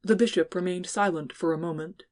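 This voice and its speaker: A woman's voice: on the low side, brisk, a little mellow. A woman giving scripted narration of classic fiction as an audiobook narrator.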